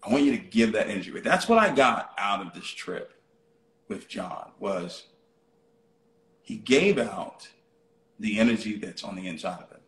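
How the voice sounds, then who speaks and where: Male motivational live host, highly animated intonation, repetitive and rhetorical, frequent check-ins with listeners, roomy echo. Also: moderate pace, pauses often. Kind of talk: motivational talk to a live online audience. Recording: roomy, echoey sound.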